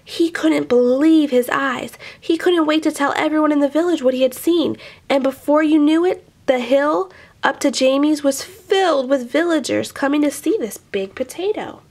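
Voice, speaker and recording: A woman reading a children's picture book aloud, expressively, with animated asides to young listeners. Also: high voice, moderate pace. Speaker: storyteller.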